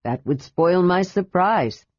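A woman voicing a grave, wise old male gold dragon who speaks slowly and sternly. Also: fairly low pitch, lively intonation, a little mellow, no echo, dull audio. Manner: stern, grave, slow and measured.

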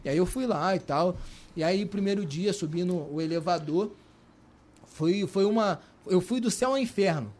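Brazilian man, fairly high voice, Brazilian Portuguese accent, casual animated storytelling, colloquial, repeats himself. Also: normal speed. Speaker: guest telling his story.

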